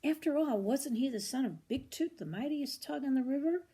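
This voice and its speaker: An older woman reads a children's storybook aloud in an expressive, grandmotherly way, with short asides to the listener. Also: high voice, moderate pace. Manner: expressive, grandmotherly, commenting on the pictures.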